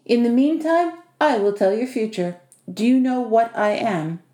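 A short, bossy male house spirit from a storybook, performed by a woman. He is wry and teasing, with a foreign turn of phrase. The tone is mellow, and the room around it echoes. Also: a little high, expressive, normal speed, pitched above the performer's own voice, close to an everyday voice. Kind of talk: audiobook character dialogue.

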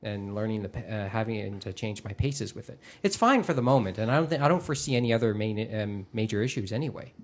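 A man hosting a podcast in casual chat, with a halting, rambling delivery full of false starts. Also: on the low side, expressive. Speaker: podcast host. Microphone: microphone through a mixer.

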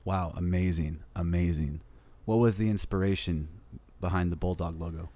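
A man hosting an interview in a cigar lounge, enthusiastic and quick to praise. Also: sits low, steady tone, dark tone, no echo. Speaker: podcast host.

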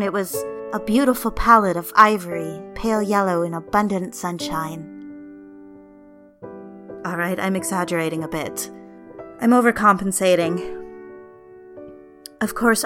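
A woman's voice: medium pitch, normal speed, long pauses, over music. A woman narrating a dramatic story in the first person, speaking confidingly and directly to the reader. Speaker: narrator and lead character.

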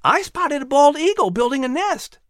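Woman voicing an eager high-pitched young boy. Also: very animated, moderate pace, no room sound, studio quality. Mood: eager, persuasive. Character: nine-year-old colonial boy, eager, persuasive, sweet-natured. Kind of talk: audiobook character voice.

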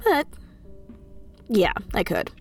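A playful informal pal in a fiction podcast, lively voice, light music under it. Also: female voice, on the high side, slow pace, heavily acted. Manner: teasing, informal. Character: playful, casual, introverted tech-savvy friend. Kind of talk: naturalistic audio drama dialogue.

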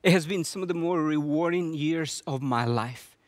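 Earnest pastor, second-language English, dry. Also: male voice, on the high side, normal speed, no echo. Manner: earnest, with frequent restarts and repetitions. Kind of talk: sermon with personal testimony.

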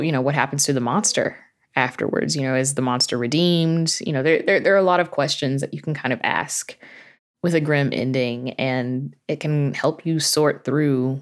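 Low-voiced woman in casual interview. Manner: chatty, informal, thoughtful explanations. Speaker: interview guest, horror fiction podcast creator.

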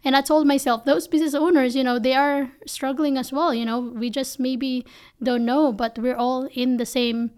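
A woman's voice: high voice, steady tone, moderate pace, darkish tone, smooth. A woman from the Philippines with a Filipino accent, speaking candidly and emphatically in conversational interview answers as a company founder.

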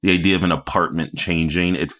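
A man's voice: sits low, steady tone, quick pace, bright, forceful tone. A man co-hosting a casual TV recap podcast, talking in an opinionated, emphatic and playful way. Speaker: podcast co-host.

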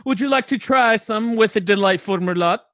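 Pompous fake-British country gentleman, high-pitched. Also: normal speed, no room sound, clean studio sound. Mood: genteel, soothing. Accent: put-on broken British. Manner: pompous, genteel, flowery. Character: country husband turned delighted, pompous would-be British gentleman.

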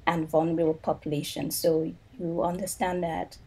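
A woman's voice: low voice, flat delivery, moderate pace, mellow. A woman from Africa, a social work professor, explaining her views earnestly as a podcast guest. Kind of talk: interview answers.

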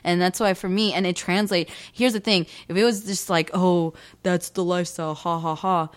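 A young adult woman talking animatedly and emphatically in a casual conversation as a podcast co-host. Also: lowish voice. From United States. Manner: animated, emphatic, quick bursts of speech.

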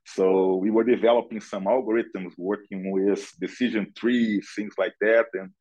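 A man's voice: lowish voice, fairly even intonation, normal speed, darkish tone, rough recording. A middle-aged man with a Brazilian accent giving conversational interview answers as a guest, hesitantly searching for words and often checking in with "right?". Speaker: podcast guest, analytics director.

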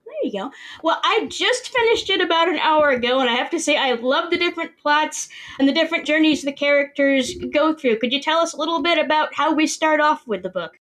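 A woman's voice: high-pitched, animated, a little fast, a bit bright, low-quality recording. A woman hosting a book podcast, chatting with a guest author in a cheerful, chatty way. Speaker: podcast host. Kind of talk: friendly interview chat.

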